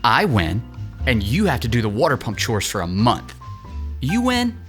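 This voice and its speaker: A man's voice: medium pitch, huge pitch swings, moderate pace, faint music behind. A man playing a cocky, whiny, entitled young rich son in an audio drama, sarcastic and theatrical. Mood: cocky wheedling. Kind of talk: scripted audio drama acting.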